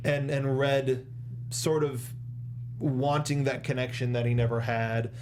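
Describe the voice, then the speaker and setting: A man's voice: medium pitch, level tone, a little slow, a little mellow, a little hiss or hum. A young adult man with an American accent, chatting casually as co-host of a review show at a studio microphone. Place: small studio, indoors at a desk. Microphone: close studio microphone with foam windscreen, headphones.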